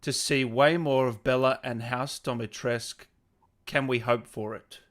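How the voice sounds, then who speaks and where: A man's voice: mid-range voice, steady tone, a little slow, a bit bright. A man hosting a casual gaming interview, chatting with his guest and reading out questions from viewers. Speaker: interviewer, gaming channel host. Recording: video call.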